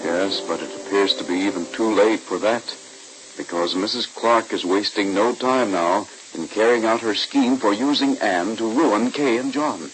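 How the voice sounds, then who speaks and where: A man's voice: on the low side, moderate pace, low-quality recording. A man narrating an old radio serial as its announcer, in scripted 1940s style. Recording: old-time radio recording.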